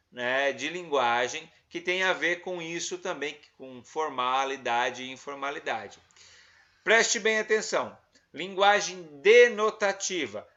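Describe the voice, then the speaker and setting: A man's voice: fairly high pitch, pushed and bright. A man teaching a recorded online class, explaining points and asking his listeners rhetorical questions. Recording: slightly muffled, lo-fi recording.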